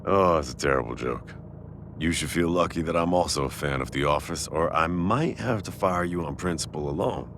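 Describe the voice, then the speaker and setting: Man acting a very deep-voiced, teasing boyfriend type. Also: normal speed, a little hiss or hum. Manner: casual, teasing, affectionate. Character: warm, teasing Italian-American restaurant owner with a secret crush. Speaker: boyfriend-roleplay voice actor. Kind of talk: intimate audio roleplay, conversational boyfriend ASMR.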